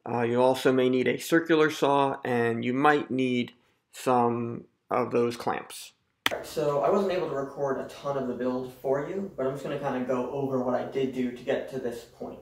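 Gravelly-voiced man explaining a DIY build. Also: mid-range voice, moderate pace, slight background noise. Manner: relaxed, self-deprecating, explaining step by step. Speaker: DIY video host. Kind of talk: casual how-to narration. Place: home living room.

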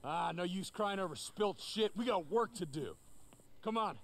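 An actor playing a brash, foul-mouthed, excitable male gang leader in a video game, exuberant and given to shouting. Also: sits high, normal speed. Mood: brisk, gruff. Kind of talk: video game cutscene acting. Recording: game audio under loud engine noise.

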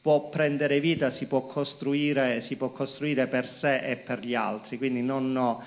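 Middle-aged man from Calabria, speaks at a lectern with a handheld mic, gravelly, stop-start with lots of fillers. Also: medium pitch, fairly even intonation. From Calabria, Italy. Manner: halting, many fillers and repeated words. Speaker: company executive presenting to students. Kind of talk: public talk at a lectern. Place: conference hall with projector screen. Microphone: handheld microphone.

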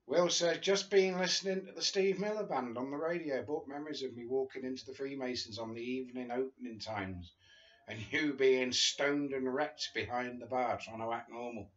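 Older man, casual memoir reading, living room, webcam audio, slightly muffled, mid pitch. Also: moderate pace. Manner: chatty, chuckling asides between read passages. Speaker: reader of a friend's memoir. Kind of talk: casual book reading to camera. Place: living room sofa, indoors.

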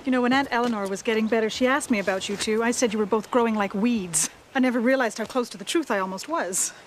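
A wry middle-aged mom, played by an actress in a TV drama. She speaks quickly in a slightly high voice, with faint noise behind. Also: lightly acted, low-quality recording. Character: wry suburban mother. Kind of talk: natural screen acting.

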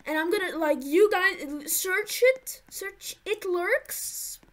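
A woman's voice: very high-pitched, takes it slowly. A woman giving nervous, jumpy commentary to her viewers while playing a horror game. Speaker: gaming YouTuber.

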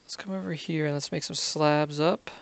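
A man's voice: a little high, fairly even intonation, moderate pace, gravelly, no room sound. A man giving casual gameplay commentary, muttering to himself and counting under his breath. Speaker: gaming video creator.

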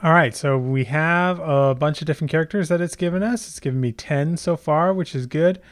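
A middle-aged man hosting a casual software tutorial, explaining conversationally and thinking aloud as he goes. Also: on the high side, a little fast. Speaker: tutorial host.

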